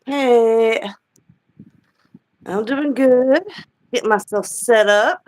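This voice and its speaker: A woman joining a casual live chat as guest reader, chatty and slightly croaky, trailing off with fillers. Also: on the high side, extremely slow, a little mellow. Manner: chatty, trailing off with many likes and laughs. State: slightly croaky from a swollen throat.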